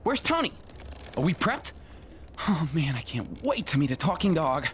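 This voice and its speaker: An actor playing an excitable, friendly, wisecracking superhero in a video game. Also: male voice, a little high, normal speed, slight background noise. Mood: excited. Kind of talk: video game cutscene acting. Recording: loud music behind.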